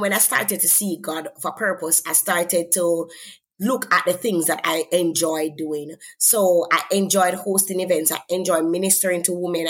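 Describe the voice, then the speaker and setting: A woman's voice: lowish voice, breathy edge. Earnest Jamaican woman sharing her faith. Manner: earnest, preacherly, asks "right?" often. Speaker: guest, author and faith coach. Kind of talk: conversational faith interview.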